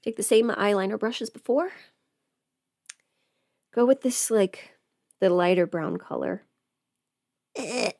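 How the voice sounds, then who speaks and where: A woman playing a chatty, playful streamer who rambles casually through her makeup routine, often slipping into hushed asides. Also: medium pitch, slow, pauses often, no room sound, studio quality. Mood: curious, chatty. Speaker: livestreamer doing a get-ready-with-me makeup stream. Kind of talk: casual livestream chat, scripted audio roleplay.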